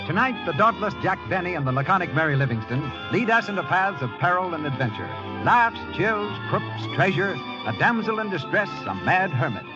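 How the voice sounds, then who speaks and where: A man's voice: high-pitched, sing-song, a little fast, hardly any pauses. An American man working as announcer and narrator on scripted 1940s radio, with polished, declamatory delivery. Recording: lo-fi vintage radio broadcast. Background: music.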